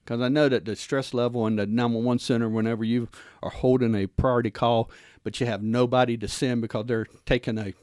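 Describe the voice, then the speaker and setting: A male interview host with a medium-pitched voice, recorded dry and close to free of room sound. He talks in an informal, wordy way, circling and repeating phrases as he goes. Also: fairly even intonation, fairly quick, a little mellow, no echo. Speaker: guest host, former police officer. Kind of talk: conversational interview hosting.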